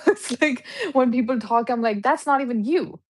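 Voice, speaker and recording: A woman's voice: on the high side, normal speed. A woman guest on a video call, talking reflectively and casually, with many fillers. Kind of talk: casual conversation on a live stream.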